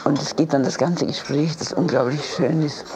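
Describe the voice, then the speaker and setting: A woman telling a story conversationally, in a halting way, rephrasing as she goes. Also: low-pitched, steady tone, a crowd in the background, poor audio.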